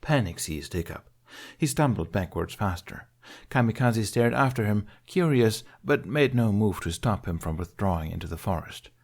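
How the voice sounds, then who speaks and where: A man reading an adventure story aloud as an expressive audiobook narrator. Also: fairly low pitch, moderate pace, vocal fry.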